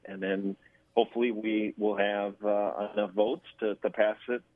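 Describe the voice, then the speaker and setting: A man giving halting, measured explanations as a guest in a radio interview. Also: fairly low pitch, big pitch swings, creaky, dry recording. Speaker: interview guest, government affairs director. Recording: thin phone-like line.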